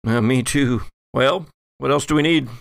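A man narrating as game master of a tabletop role-playing game in a measured, halting, semi-improvised way. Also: medium pitch, gravelly, dry recording, clean studio sound.

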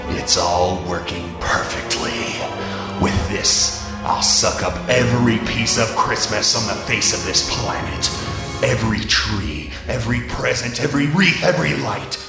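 A man's voice: lowish voice, expressive, a little slow, gravelly. A man playing a smug, grandiose robot villain scheming in a radio play. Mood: gloating. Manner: grandiose, menacing monologuing. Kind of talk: scripted radio play acting. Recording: echoing voice under loud music.

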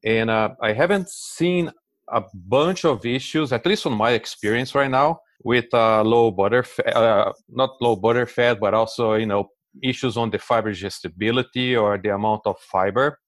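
A man's voice: lowish voice, no room sound. A man in his forties from Brazil, speaking with a Brazilian Portuguese accent as a guest expert in a casual interview, explaining things with many fillers and restarts.

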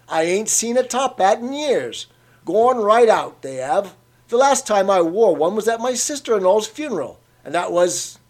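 A man's voice: sits high, darkish tone, voice pushed up. A man voicing a stubborn, grumbling old London working man, dropping his aitches. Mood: reminiscing. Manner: querulous, pugnacious, dropped aitches.

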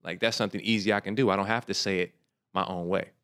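A man in a casual discussion, thinking aloud in a reflective, measured way as he makes his points. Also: deep voice, steady tone, a bit bright, clear, resonant tone.

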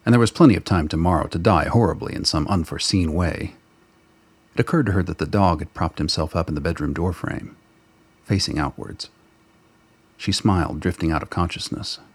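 A man narrating a radio drama in a steady, deliberate storytelling manner. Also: deep voice, husky. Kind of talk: scripted narration.

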